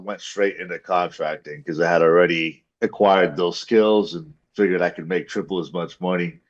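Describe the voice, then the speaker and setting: Man from the UAE, very deep voice, hesitant and modest, dull dry muffled audio. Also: no echo. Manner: modest, hesitant, many uh fillers. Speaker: guest. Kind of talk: casual interview talk.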